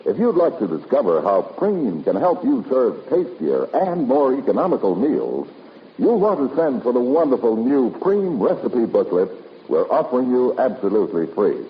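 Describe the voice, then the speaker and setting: 50s radio ad guy, emphatic and expressive, medium pitch, old dull sounding broadcast. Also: moderate pace. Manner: polished, emphatic sales delivery. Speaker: radio announcer. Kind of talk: 1950s radio commercial announcing. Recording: muffled vintage radio recording with noise.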